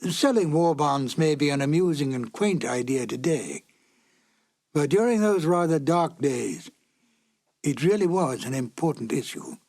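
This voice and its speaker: A man's voice: on the high side, expressive, unhurried, darkish tone. A man giving a scripted narration as the presenter of a classic radio drama.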